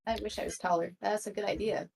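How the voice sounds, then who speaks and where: A woman's voice: mid-range voice, steady tone, fast talker, creaky, darkish tone, husky. A woman playing a sheepish, playful adventurer in an improvised tabletop roleplay, speaking with some hesitation. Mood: wistful, playful. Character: shorter, sheepish female adventurer.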